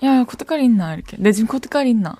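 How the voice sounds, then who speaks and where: A young woman with a Gyeongsang lilt chats and teases in a casual co-hosting banter. Her voice sits high and bounces animatedly, and the sound is dry and close with no room in it. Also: lively intonation, rapid-fire, warm tone, no echo. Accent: Gyeongsang dialect of Korean. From Gyeongsang region of Korea. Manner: playful, teasing, laughs often. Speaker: radio co-host. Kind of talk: casual chat.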